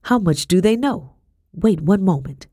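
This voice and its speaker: A woman voicing an imperious, frightened colonial-era lady in an audiobook, sharp, demanding and indignant. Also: fairly low pitch, animated, unhurried, husky, dry recording, studio quality, pitched above the performer's own voice. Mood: alarmed. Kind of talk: dramatic audiobook character acting.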